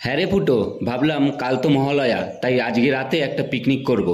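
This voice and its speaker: A man's voice: mid-range voice, quick pace, darkish tone, slight background noise, close to an everyday voice. A man voicing a crude young club friend in a Bengali comedy cartoon, exclaiming and bantering. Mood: teasing, casual.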